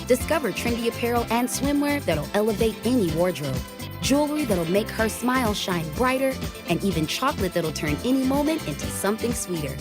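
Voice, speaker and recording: A woman's voice: fairly high pitch, expressive, fairly quick. Enthusiastic female ad voice over music. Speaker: ad announcer. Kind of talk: upbeat retail commercial read. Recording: ad with music underneath.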